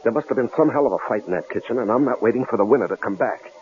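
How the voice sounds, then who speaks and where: Actor as a flustered, animated husband on old radio. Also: male voice, mid-range voice, very fast, natural voice. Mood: alarmed. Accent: American. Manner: hesitant, stammering, pleading. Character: anxious, apologetic husband, cautious and easily flustered. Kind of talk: 1970s radio drama acting. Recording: tinny, lightly noisy old radio broadcast.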